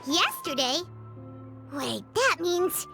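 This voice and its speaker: A woman voicing a small, curious childlike companion in a video game, squeaky and earnest. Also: very animated, takes it slowly, gravelly, pushed and bright, heavily acted. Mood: uneasy. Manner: earnest, questioning. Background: prominent background music.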